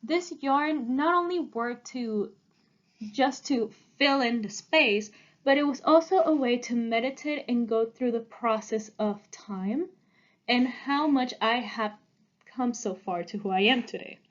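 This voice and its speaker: A woman's voice: fairly high pitch, lo-fi sound. Earnest young woman presenting art. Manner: earnest, halting, with small restarts. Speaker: art student presenting her work. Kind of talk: prepared presentation.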